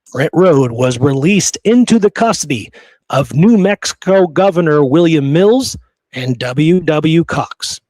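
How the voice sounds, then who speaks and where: A man's voice: fairly high pitch, darkish tone, dry recording, poor audio. A man hosting a history show, telling stories conversationally from his research notes with casual, amused asides.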